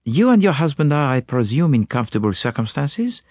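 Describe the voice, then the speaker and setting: A man's voice: mid-range voice, big pitch swings, brisk, a little mellow, dry recording, clean studio sound, pitched above the performer's own voice. A man reading an audiobook, voicing a fussy, courteous foreign male detective who speaks precisely and drops French phrases. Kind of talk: audiobook character voice.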